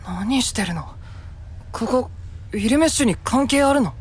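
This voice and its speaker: A woman voicing a blunt, impatient, inquisitive young knight with a husky voice in a Japanese fantasy voice drama. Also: fairly high pitch, animated, moderate pace. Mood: suspicious, probing. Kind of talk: Japanese anime-style voice drama.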